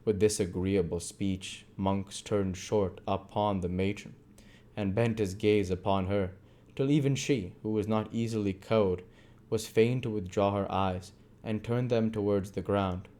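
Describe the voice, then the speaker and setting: A man's voice: on the low side, steady tone, a little slow, warm tone. A man reading literary narration aloud as an amateur audiobook reader.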